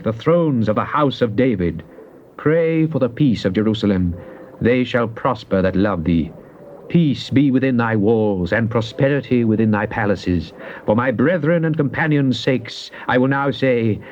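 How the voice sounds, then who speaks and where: A man's voice: medium pitch, darkish tone, close to an everyday voice. Devout psalmist played by a male actor, solemn and theatrical scripture delivery, muffled cassette sound with hiss. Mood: joyful, reverent. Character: devout psalmist pleading and praising God. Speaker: scripture reader. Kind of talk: dramatized King James Bible reading. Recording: dull, noisy old cassette tape.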